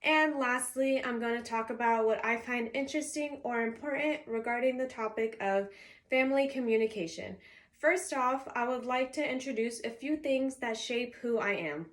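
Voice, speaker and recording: Brief: woman in her early twenties, college student, higher-pitched, reads a prepared speech point by point, first language Arabic. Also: moderate pace. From California, US. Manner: structured, reading from notes with signposted points. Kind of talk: reading a prepared class introduction speech.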